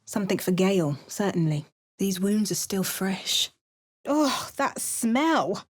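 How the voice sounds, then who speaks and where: A dry, wry female adventurer muttering short remarks in a put-on Cockney accent. Her pitch sits mid-range for a woman, and she sounds like a fantasy video game hero. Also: big pitch swings, slow pace. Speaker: player character.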